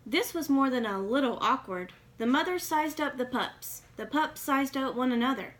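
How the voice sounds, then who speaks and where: A woman's voice: high voice, lively intonation, normal speed, fairly bright tone, a little hiss or hum. A woman reading a children's picture book aloud expressively, with questioning asides to young listeners. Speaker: read-aloud reader.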